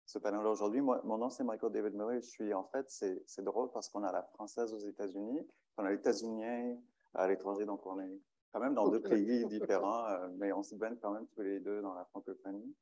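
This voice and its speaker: Low-voiced American man speaking French. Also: steady tone, brisk, dark tone. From Michigan, United States. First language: English. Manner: hesitant, searching for words. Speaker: panelist, librarian. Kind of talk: panel talk. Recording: dull, lo-fi sound.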